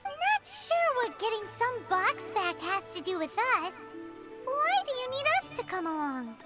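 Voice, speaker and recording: A woman's voice: very high-pitched, big pitch swings, a little slow, over loud music, exaggerated voice. A woman voicing a curious, skeptical young traveler in a video game, dry and questioning. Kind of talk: game voice acting.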